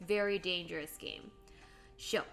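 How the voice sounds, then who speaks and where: A woman's voice: on the low side, steady tone, unhurried, gravelly, fairly bright tone, soft background music. A woman in her late twenties hosting a livestream, chatting casually and bubbly while she draws and reads out viewer comments. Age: late 20s. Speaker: livestream host. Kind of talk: casual chat while drawing on stream.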